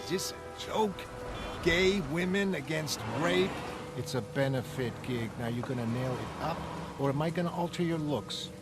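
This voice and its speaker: A man's voice: on the high side, normal speed, close to an everyday voice. A man voicing a brash, tough-talking young woman cab driver, blunt, streetwise and combative. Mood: brash, irritated. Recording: loud music underneath.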